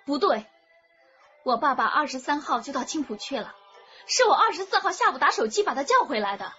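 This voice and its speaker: An actress playing a distraught young daughter, pleading and insistent, in a radio drama. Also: female voice, high-pitched, sing-song, fairly quick, a bit bright, muffled recording, soft background music. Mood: defensive. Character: distraught young daughter defending, then questioning her father. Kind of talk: emotional radio drama acting.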